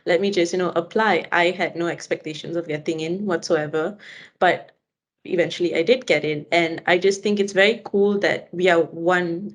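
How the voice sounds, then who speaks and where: Young woman from malaysia, student on a podcast, chatty and lowish voice. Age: young adult. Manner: chatty, fillers like "you know". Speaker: guest, engineering student. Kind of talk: casual podcast interview.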